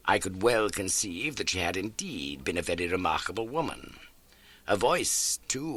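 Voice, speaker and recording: A male audiobook narrator reads literary prose with very lively intonation. His voice is mid-pitched and bright, captured very dry. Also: breathy edge, no room sound.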